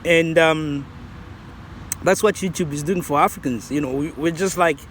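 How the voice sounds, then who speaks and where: Rambling man, fairly high voice. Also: moderate pace, a bit bright, slight background noise. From Zambia. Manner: reflective, rambling, many restarts. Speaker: vlogger. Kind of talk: casual vlog commentary.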